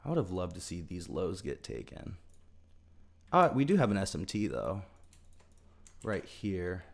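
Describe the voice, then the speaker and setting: A young man with a lowish voice chats loosely on a livestream, greeting viewers and talking through his thoughts with lots of ums. His speech is slightly altered by teeth whitening strips. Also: very animated, unhurried, vocal fry. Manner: chatty, greets viewers by name, thinks aloud with fillers. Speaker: trading livestreamer. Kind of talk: casual livestream commentary.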